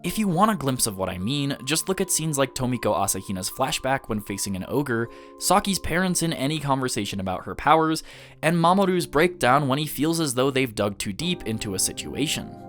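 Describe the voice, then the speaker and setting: A man with a mid-pitched voice delivers scripted video-essay narration over background music. His intonation is lively, rising and falling widely. He phrases things earnestly and deliberately, leaning on key words for emphasis. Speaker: video essayist reviewing an anime.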